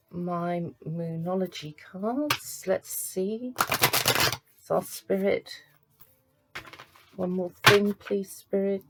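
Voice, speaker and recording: A woman working as a psychic medium, giving a card reading to camera in a halting, searching way. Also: on the low side, rough recording. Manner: halting, searching, pauses to sense impressions.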